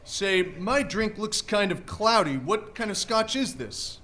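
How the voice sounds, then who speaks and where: A man's voice: high-pitched, lively intonation, a little slow, bright, forceful tone, exaggerated voice. A man playing a polite, wary husband and hotel guest in a live radio drama. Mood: wary, casual. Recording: live stage recording with background noise.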